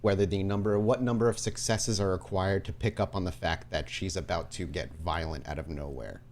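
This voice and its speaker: A man running a tabletop roleplaying game as game master, telling the story in a dramatic, deliberate way with pauses. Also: low-pitched, darkish tone, slight background noise.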